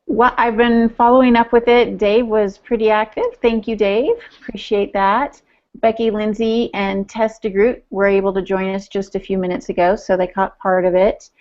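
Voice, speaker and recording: A woman's voice: mid-range voice, flat delivery, normal speed, darkish tone, muffled recording. A woman co-hosting a podcast, relaying listener comments in casual chat with a playful, teasing manner.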